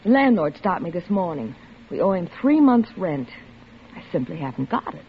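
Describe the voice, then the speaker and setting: Vintage radio drama: long-suffering American wife, reproachful yet pleading, wide swings of pitch, muffled old recording. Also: female voice, big pitch swings, normal speed, breathy edge, exaggerated voice. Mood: worried, weary. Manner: earnest, emotional, pleading. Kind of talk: 1940s-50s American radio acting.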